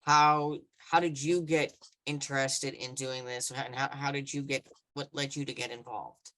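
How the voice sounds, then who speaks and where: Halting male podcast host. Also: medium pitch, flat delivery, bright, forceful tone. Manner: halting, stumbling over questions. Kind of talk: live video podcast interview. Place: indoors with virtual background.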